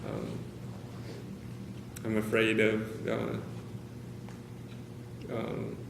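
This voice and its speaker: A man speaking candidly to a meeting of caregivers, hesitant, with many pauses and fillers. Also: fairly low pitch, big pitch swings, creaky. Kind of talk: personal talk to a small group. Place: meeting room with a group of about 45 people. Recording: roomy, noisy meeting-room recording.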